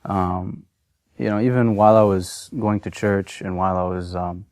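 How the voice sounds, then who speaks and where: A man in his thirties from Massachusetts, telling his personal story in a halting, unscripted way full of fillers. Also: low voice, steady tone, darkish tone, no room sound, studio quality. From Massachusetts, USA. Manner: hesitant, many fillers and restarts. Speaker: convert sharing his story.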